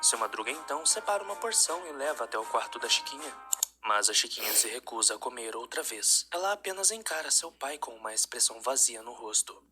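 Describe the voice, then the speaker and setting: Man narrating a dark story, music behind. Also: medium pitch, lively intonation, quick pace.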